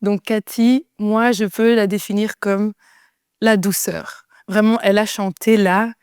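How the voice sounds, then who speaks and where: A woman's voice: mid-range voice, steady tone, unhurried, darkish tone, smooth, no room sound. A woman hosts a casual podcast interview. She sounds upbeat and encouraging, with a clean, resonant voice. The room is dry, with the mic close. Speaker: podcast host.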